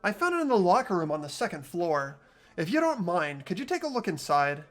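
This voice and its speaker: A woman's voice: fairly low pitch, very animated, a little fast. A woman playing an earnest, thoughtful young student investigator in a fan-voiced game. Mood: serious. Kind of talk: fan dub of a visual novel game.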